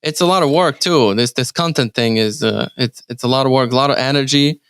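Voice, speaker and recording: A man from Ukraine speaking English as his second language with a Ukrainian accent, answering interview questions in a halting way with many restarts and fillers. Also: medium pitch, fairly bright tone, smooth, no room sound, clean studio sound. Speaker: real estate team leader, guest.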